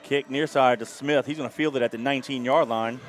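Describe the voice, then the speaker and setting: A man's voice: medium pitch, fairly even intonation, brisk. Man calling home-team football play-by-play. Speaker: play-by-play announcer. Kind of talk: high school football play-by-play. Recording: music in background.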